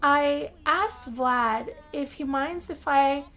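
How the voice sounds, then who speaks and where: A woman telling a first-person role-play story as game narration, theatrical, with drawn-out phrases and pauses. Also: high voice, a bit bright. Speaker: gameplay narrator.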